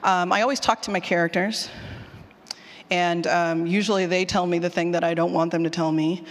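An animated presenter speaks to a live session in a conversational, emphatic way, like a teacher addressing students. The voice sits at a middling pitch and carries the long reverb of a hall. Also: moderate pace. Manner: animated, informal, emphatic, repeats questions aloud. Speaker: speaker presenting a writing workshop, former college teacher. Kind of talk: conference talk with audience questions. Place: indoor conference session room or hall.

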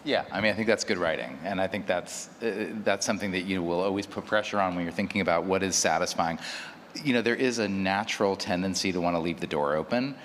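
A man's voice: low-pitched, fast talker, fairly bright tone. A man speaking as a panelist, explaining his approach in a discussion. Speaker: panelist, audio drama producer. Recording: echoey hall with faint room noise.

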